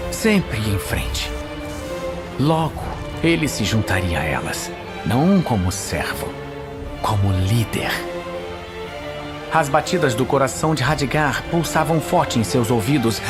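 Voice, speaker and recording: A man with a Brazilian accent narrating a fantasy audio series in a solemn, suspenseful, measured style. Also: mid-range voice. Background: dramatic music.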